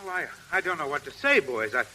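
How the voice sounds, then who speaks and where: An actor playing an earnest, well-spoken gentleman in a 1930s radio drama, speaking politely and with emphasis. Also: a little high, very animated, fast, exaggerated voice. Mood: surprised, contrite. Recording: hissy, crackling 1930s radio recording.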